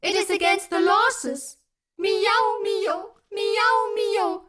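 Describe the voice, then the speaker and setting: A man voicing two fretful little cats in a storybook reading, in a shrill mewing falsetto. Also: drawn-out words, pushed and bright, exaggerated voice. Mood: warning.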